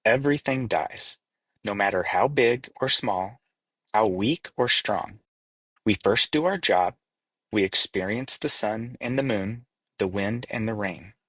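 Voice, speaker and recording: A man reading as a wise, husky, matter-of-fact leaf. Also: on the low side, measured pace, a bit bright, no room sound. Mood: calm, reassuring. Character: wise, calm, reassuring male tree leaf. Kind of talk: storybook read-aloud.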